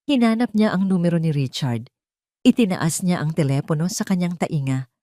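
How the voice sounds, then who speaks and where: A woman's voice: lowish voice, animated, normal speed, warm tone, dry recording, studio quality. A woman with a Filipino accent reading a scripted dramatic story as a narrator.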